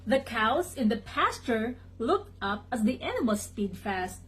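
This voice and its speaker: A woman reading a picture book aloud to children in an expressive, dramatic way, pausing to chat to young listeners. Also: on the high side, a little hiss or hum. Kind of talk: animated children's read-aloud.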